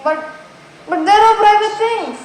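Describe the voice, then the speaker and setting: A woman's voice: very high voice, a little slow. A teenage girl acting a timid, flustered woman passenger pleading her innocence in a stage play. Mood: pleading, anxious. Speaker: student actor in a school play. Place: school stage, indoors. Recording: very echoey stage, noisy background.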